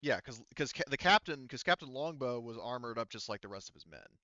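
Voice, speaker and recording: A man's voice: mid-range voice, expressive, a little fast, pushed and bright, dry recording, highs cut off. A man running an online tabletop game as game master, talking casually.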